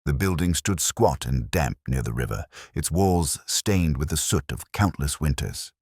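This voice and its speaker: A man narrating a scripted story in a measured, literary, dramatic manner. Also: very deep voice, animated, a little mellow, breathy edge, no echo, clean studio sound.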